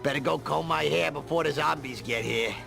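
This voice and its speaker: A man voicing a lonely, defeated middle-aged grump with a gravelly voice in a cartoon game. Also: a little high, brisk, over music. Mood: dejected. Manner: defeated, sighing. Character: glum, gravelly bartender, lonely sad sack. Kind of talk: animated comedy game acting.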